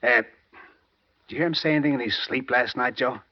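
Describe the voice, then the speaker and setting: A man's voice: mid-range voice, a little fast, natural voice. A man playing a brash, persistent newspaperman in a 1940s radio crime drama, punchy and terse, on a dull old recording. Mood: probing.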